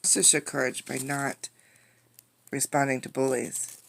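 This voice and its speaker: A woman reading a picture book aloud as a teacher, expressively, pausing to put questions to young listeners. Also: a bass voice, vocal fry, a little hiss or hum. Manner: expressive storytelling, addressing young listeners directly. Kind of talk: interactive children's read-aloud with questions.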